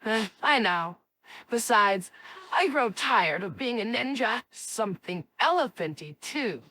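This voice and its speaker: A woman voicing a spirited, cocky and excitable cartoon kid with an exclamatory, dramatic delivery. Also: fairly high pitch, unhurried, full character voice. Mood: dramatic, scheming. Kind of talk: animated series voice acting.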